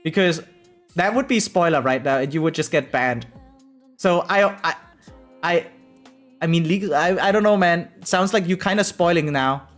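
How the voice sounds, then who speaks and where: A man's voice: fairly high pitch, moderate pace, fairly bright tone. Rambling male streamer over music. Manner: rambling, repetitive, slangy and profane; frequently tags sentences with "right?". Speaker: variety game live streamer. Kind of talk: casual chat with live stream viewers.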